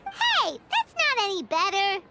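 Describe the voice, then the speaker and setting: A woman voicing a tiny, excitable floating fairy companion in a video game, with a squeaky, childlike voice. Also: measured pace, heavy background noise, exaggerated voice. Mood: indignant, puzzled. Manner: refers to self in third person, exclamatory. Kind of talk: video game character dialogue.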